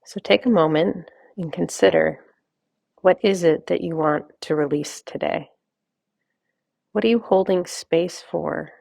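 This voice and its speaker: A woman leading a guided meditation as a coach, giving gentle instructions and unhurried invitations with pauses. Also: low voice, gravelly, no room sound, clean studio sound.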